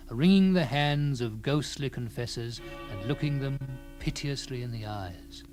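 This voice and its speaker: A man narrating a gothic story in the first person, with theatrical, deliberate phrasing and dramatic pauses. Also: medium pitch.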